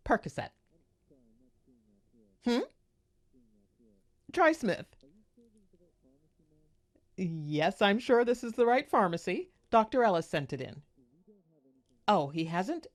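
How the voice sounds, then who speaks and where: A woman acting in an audio drama as an ailing, pill-dependent mother, impatient and evasive, with a coaxing way of talking. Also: mid-range voice. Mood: polite, wheedling.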